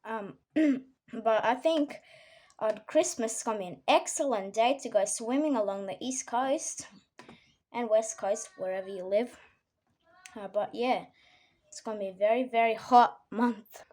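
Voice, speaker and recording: An Australian woman with a croaky voice from a cold, giving a casual weather forecast with many ums and frequent throat clearing. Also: on the high side, unhurried, a little mellow.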